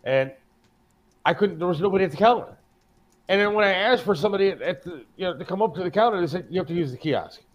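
A man's voice: high-pitched, expressive, fast talker, lo-fi sound. An American man chatting casually as a talk show co-host, profane and given to complaining. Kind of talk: casual chat.

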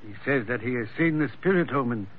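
남자 배우가 연기하는 불안한 농장주 통역자. 허스키한 목소리로, 얇고 전화 같은 옛 라디오 음질이다. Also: male voice, mid-range voice, lively intonation, brisk, close to an everyday voice. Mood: translating. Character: uneasy male plantation owner, interpreter. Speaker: plantation owner Fenric. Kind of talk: 1940s radio acting. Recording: tinny old radio broadcast.

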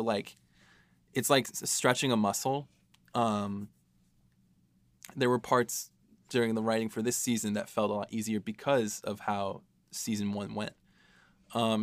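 A man's voice: mid-range voice, fairly bright tone, dry recording. A man talking casually as a podcast host and writer, with a self-deprecating, joking manner. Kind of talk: casual panel discussion.

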